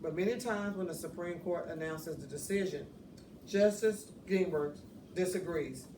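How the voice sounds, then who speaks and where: A woman reads a children's picture book aloud in an expressive, deliberate storytelling manner. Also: on the low side. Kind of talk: children's read-aloud narration. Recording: roomy, distant mic, faint noise.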